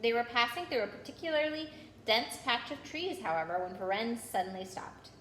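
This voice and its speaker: A woman with a fairly high voice narrates a children's book aloud with expression. She is recorded in an echoey room, with faint noise behind her. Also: animated, brisk, fairly bright tone. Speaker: storyteller reading aloud. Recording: roomy echo with background noise.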